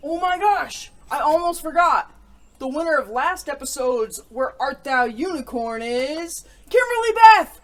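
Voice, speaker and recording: A woman's voice: high-pitched, animated, measured pace. Woman story-time host, noisy recording. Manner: enthusiastic, theatrical presenter. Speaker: host of a story-time show reviewing children's books. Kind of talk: picture book read-aloud and upbeat review.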